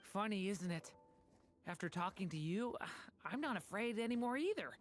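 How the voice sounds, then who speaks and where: A woman's voice: mid-range voice, expressive, moderate pace, a little hiss or hum, natural voice. A woman voicing a kind young companion in a game, reassuring and encouraging. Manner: warm and encouraging.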